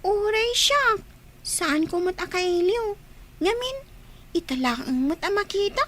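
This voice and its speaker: A woman's voice: very high voice, lively intonation, takes it slowly, fairly bright tone, exaggerated voice. A woman voicing a timid youngest child, a hesitant little girl, in an Ilocano radio drama. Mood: fearful.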